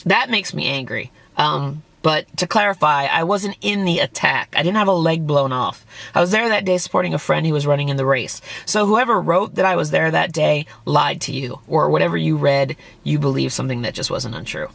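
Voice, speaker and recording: A woman vlogger, hoarse and losing her voice, bluntly and indignantly defending herself while reacting to a critic's video. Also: low voice, fairly quick, fairly bright tone, dry recording. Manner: indignant, blunt, swears when angry.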